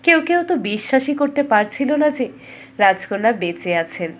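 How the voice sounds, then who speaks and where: উঁচু গলার নারী রূপকথার গল্পকথক. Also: female voice, on the high side, big pitch swings, moderate pace. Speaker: storyteller. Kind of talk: scripted dramatic fairytale narration.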